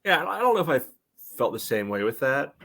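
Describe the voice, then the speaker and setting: A man co-hosting a soccer fan podcast, reasoning through the game in a measured, casual way and often saying "you know". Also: mid-range voice, sing-song, creaky, fairly bright tone. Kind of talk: casual match analysis.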